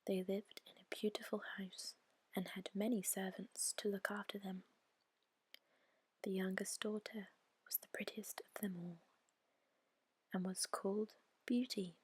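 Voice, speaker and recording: A woman reads a bedtime story in a gentle, soothing ASMR whisper, breathy and measured, close to the microphone. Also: mid-range voice, level tone, no room sound.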